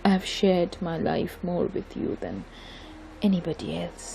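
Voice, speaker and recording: A woman's voice: fairly low pitch, big pitch swings, moderate pace, gravelly, mellow, slight background noise. A woman with an Indian Bengali accent playing a weary, caring mother confiding in an old friend in an audio drama, switching between English and Bengali. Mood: weary, troubled. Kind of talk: bilingual audio drama acting.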